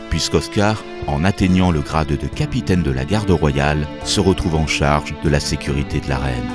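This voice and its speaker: An extremely deep male voice narrates a documentary from a script. The man has an accent from France, and music plays clearly behind him. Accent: French from France. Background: music behind the voice-over.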